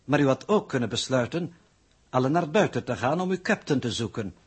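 A man playing a calm, pedantic scientific coordinator who lectures formally and condescendingly in a 1960s radio drama. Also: mid-range voice, fairly quick, slightly rough. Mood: measured, explanatory. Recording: muffled-edged vintage 1960s radio recording.

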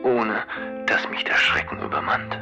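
A man's voice: on the low side, lively intonation, muffled recording, lightly acted. A man playing a world-weary, despairing drifter in a radio play, speaking with melancholy. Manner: slow, melancholy. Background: plucked guitar music.

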